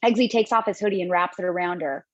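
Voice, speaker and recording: A woman narrating stage directions in an amateur table read over a video call, brisk and quick to laugh. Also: fairly low pitch, highs cut off.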